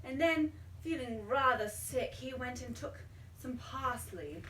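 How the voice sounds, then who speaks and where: A woman reading a children's storybook aloud in an expressive, dramatic way, pausing to put questions to a young child. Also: sits high. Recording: roomy, distant mic, faint background noise.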